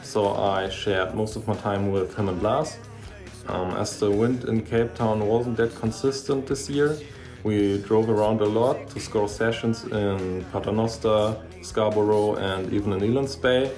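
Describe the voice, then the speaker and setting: A man, recounting things calmly and plainly. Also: on the low side, a little slow, faint music behind. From northern Germany. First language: German. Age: 20s.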